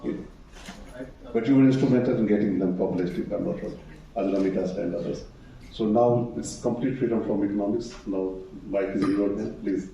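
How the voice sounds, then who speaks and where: A man's voice: on the low side, a little fast, vocal fry, warm tone, dull audio. A man moderating a panel discussion, speaking formally with some hesitation. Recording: echoey hall from far off, faint noise.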